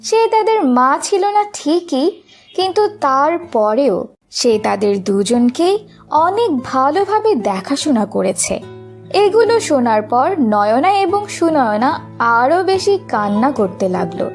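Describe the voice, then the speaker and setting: A woman narrates a children's fairy tale in a high voice with lively, swinging intonation. She reads the script at an unhurried, slow pace. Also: soft background music.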